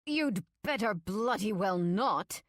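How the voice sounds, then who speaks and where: A woman's voice: medium pitch, lively intonation, takes it slowly, dry recording, studio quality, voice pushed up. A woman voicing a stern, sinister man in an audiobook, with formal, ominous politeness and an accent not quite British. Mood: furious. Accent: ambiguous, not quite British. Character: stern, menacing tall husband, icy and smugly sinister. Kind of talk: audiobook character acting.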